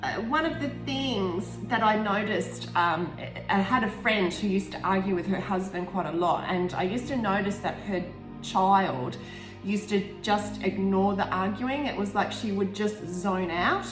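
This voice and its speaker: A woman's voice: mid-range voice, measured pace. A woman in her forties with an Australian accent, chatting casually and candidly as an author reflecting on her own writing, often rambling and interrupting herself. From Tasmania, Australia. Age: 40s. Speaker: author reading and discussing own book. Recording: roomy echo, music behind. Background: music.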